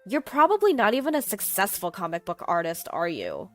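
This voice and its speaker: A woman's voice: wildly swooping pitch, fairly quick, a bit bright, soft background music. A woman voicing a mean, snide younger sister who mocks and bosses her sibling. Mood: mocking. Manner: mocking and bossy, shrill when upset.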